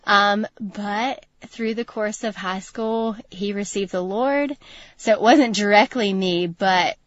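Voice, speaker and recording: A woman, sharing her faith testimony in an earnest, reflective way as a radio guest, often laughing softly. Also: medium pitch, slow pace, dry recording, highs cut off. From North Carolina, USA. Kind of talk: personal testimony in a radio interview. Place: radio studio.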